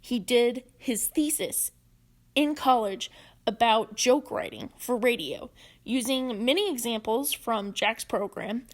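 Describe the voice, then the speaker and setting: A woman's voice: fairly high pitch, takes it slowly. A woman narrating a video essay as host, scripted but with casual asides. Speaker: host narrating a documentary on comedy history.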